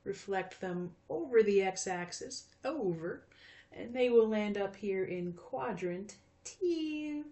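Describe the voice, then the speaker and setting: A woman's voice: medium pitch, animated, slow, dark tone. A woman in her fifties teaching math in a recorded video lesson, chatty and friendly, with frequent fillers. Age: 50s.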